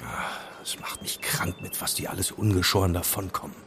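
A man's voice: low voice, fairly even intonation, fairly quick, gravelly, fairly bright tone, husky, whispered, exaggerated voice. An actor playing an earnest, awkward, curious young amateur investigator in an audio drama, hesitant in his speech. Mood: indignant.

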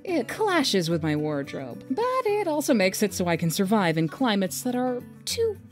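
A woman's voice: medium pitch, huge pitch swings, moderate pace, faint music behind. A woman voicing a vain, flirtatious scaled sea woman who talks in a smug, teasing way. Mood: breezy. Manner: smug, teasing, self-admiring. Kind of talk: fan audio drama acting.

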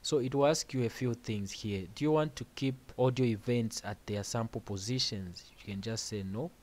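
A man hosting a music-production tutorial, explaining software steps plainly over a screen recording with casual asides. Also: mid-range voice, moderate pace.

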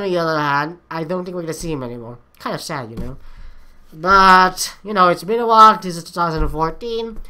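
A woman hosts a reaction video in a bright, forceful voice. Her commentary is casual and rambling, with repeated phrases and the odd swear word. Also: mid-range voice.

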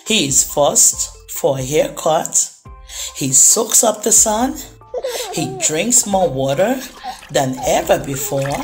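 A woman reading a children's story aloud, with dramatic phrasing and drawn-out pauses. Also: low voice. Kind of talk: expressive read-aloud storytelling for young children.

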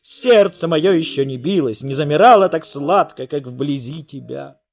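A man's voice: fairly high pitch, moderate pace, creaky, highs cut off. A man voicing a gallant, lovestruck beetle knight in an ardent, florid, declamatory manner for a storybook tale. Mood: ardent devotion.

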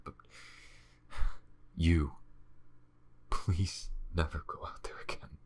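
A man playing a weary, shaken vampire in an ASMR audio roleplay, confiding in halting, haunted phrases with a breathy, husky whisper. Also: a bass voice, heavily acted. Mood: halting, protective. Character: weary, shaken, starving male vampire survivor.

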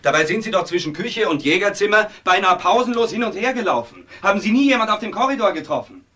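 Brisk, sharp police assistant. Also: male voice, high-pitched, expressive. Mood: impatient. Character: brisk, impatient male assistant police detective. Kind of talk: crime radio drama acting.

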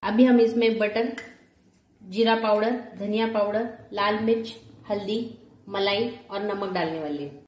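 A woman in her forties narrating a home cooking recipe step by step, calmly listing ingredients one at a time. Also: mid-range voice, measured pace. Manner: calm, lists ingredients one by one. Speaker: cooking channel host. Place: home kitchen, echoey. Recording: echoey room with faint kitchen noise.

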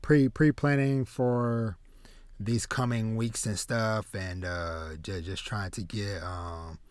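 A man in his thirties hosts a casual podcast in a halting way, doubling back on his words. The sound is close and dry, with no room on it. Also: lowish voice, fairly even intonation, dry recording. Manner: halting, trailing, repeats words. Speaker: podcast host. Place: small home room with shelves, video call. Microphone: close desk mic.

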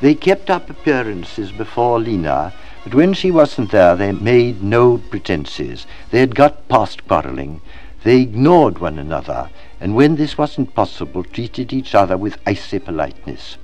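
A man's voice: lowish voice, big pitch swings, a little slow, darkish tone, soft background music. Man reading a story with very varied intonation. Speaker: audiobook reader. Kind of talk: scripted literary narration, expressive storybook reading.

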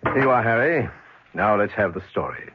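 A man's voice: on the low side, expressive, normal speed, slightly rough. An American actor in a 1970s radio drama playing an arrogant, sardonic, dismissive businessman. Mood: guarded. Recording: dull vintage radio recording.

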